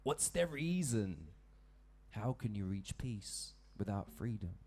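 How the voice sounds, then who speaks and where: A man's voice: on the low side, sing-song, takes it slowly, mellow. A man performing spoken word rap on stage, firing rhythmic rhetorical questions. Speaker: hip hop poet.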